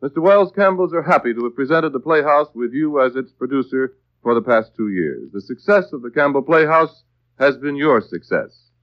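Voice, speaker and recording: A man's voice: mid-range voice, expressive, moderate pace, no room sound, lo-fi sound. An American man working as a radio announcer, reading scripted copy in a formal, carefully enunciated 1940s style. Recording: dry, band-limited vintage radio broadcast.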